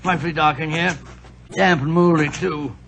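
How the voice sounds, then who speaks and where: An actor playing a nervous, loyal doctor sidekick, flustered and easily alarmed, in 1940s radio acting. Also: male voice, a little high, fairly even intonation, moderate pace, a bit bright, a little hiss or hum.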